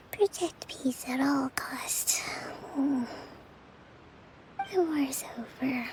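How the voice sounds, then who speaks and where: A woman playing a gentle young island leader in an anime video game, soft-spoken, measured and polite in manner. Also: high voice, creaky, background noise, exaggerated voice. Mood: sleepy. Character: gentle young female island leader, earnest, dutiful, composed. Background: game music.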